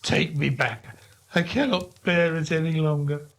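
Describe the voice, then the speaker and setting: A man voicing an anguished, miserly old man in a storybook reading, pleading in a husky, agitated voice. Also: fairly high pitch, steady tone, measured pace, a bit bright. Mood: broken pleading.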